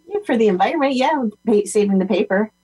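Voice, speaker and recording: Female discussion guest, husky and animated in pitch, easy conversational delivery. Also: fast. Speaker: discussion participant. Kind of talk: casual chat.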